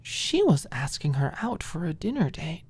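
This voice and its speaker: A woman narrating an audiobook in an intimate ASMR style, expressive and deliberate, with dramatic pauses and breathy softening. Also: low voice.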